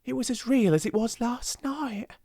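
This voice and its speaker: A man with a British accent voicing an awestruck young scullery maid in an audiobook, breathless and excited. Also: very high-pitched, moderate pace, dry recording, clean studio sound, pitched above the performer's own voice. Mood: elated.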